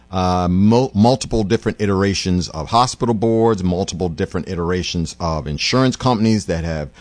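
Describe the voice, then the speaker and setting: A middle-aged man hosting a radio call-in show, listening warmly to callers and then reflecting at length. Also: lowish voice, no room sound. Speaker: radio talk host.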